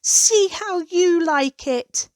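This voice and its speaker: A woman's voice: very high voice, extremely slow, darkish tone, dry recording, clean studio sound, voice pushed up, full character voice. A woman voicing a small, sensitive but plucky mother goose in a children's storybook, with emphatic, emotional delivery. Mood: angry, defiant.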